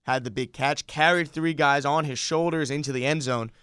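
A man's voice: fairly high pitch, fairly even intonation, normal speed, fairly bright tone, smooth, dry recording. An American man hosting a sports podcast, talking through games with a fan's enthusiasm. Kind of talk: sports talk commentary.

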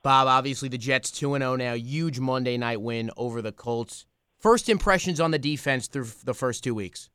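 A man's voice: medium pitch, normal speed, a bit bright, smooth, no room sound, clean studio sound. A man co-hosting a sports radio show, asking a guest interview questions.

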